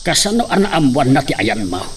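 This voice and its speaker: A man's voice: mid-range voice, very animated, brisk, distant mic, a little hiss or hum. A man acting the father in an audio drama. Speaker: voice actor playing a father.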